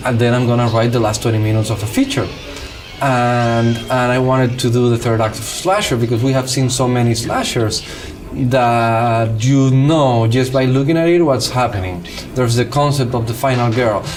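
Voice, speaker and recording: A man from Cuba with a Cuban accent and Spanish as his first language, a film director talking casually and animatedly in an interview. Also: mid-range voice.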